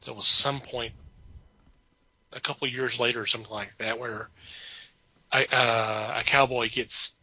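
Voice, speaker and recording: A man chatting casually about films as a podcast co-host, in a halting way that repeats words and restarts sentences. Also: medium pitch, fairly even intonation, bright, forceful tone, no room sound, low-quality recording. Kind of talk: casual film chat.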